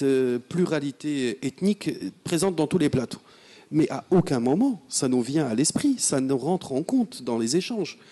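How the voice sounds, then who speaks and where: Panel guest: middle-aged man, earnest and reflective, mid-pitched, mixes French and Moroccan Arabic. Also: warm tone. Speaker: panelist, radio host. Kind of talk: panel discussion.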